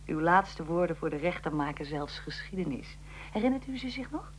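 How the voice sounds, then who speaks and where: A woman's voice: sits low, expressive, moderate pace, slight background noise. A woman playing a tense eyewitness reporter in a radio drama, commenting dramatically on history as it unfolds. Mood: sober, probing. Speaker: reporter and interviewer.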